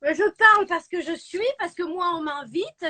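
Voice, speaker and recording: A woman's voice: extremely high pitch, moderate pace, sharp tone, dry recording. A woman in her thirties, a guest on a live stream, joining by video call and rambling jokingly in a mock-formal way. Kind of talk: casual chat.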